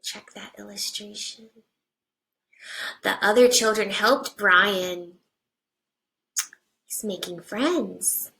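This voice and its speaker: A woman teacher reading a picture book aloud to young children, with simple deliberate phrasing and hushed asides. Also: mid-range voice, vocal fry, rough recording. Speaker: first grade teacher.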